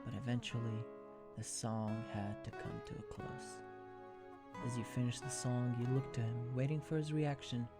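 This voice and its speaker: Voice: medium pitch, fairly even intonation, normal speed, over loud music. A narrator reading second-person fan fiction as scripted storytelling.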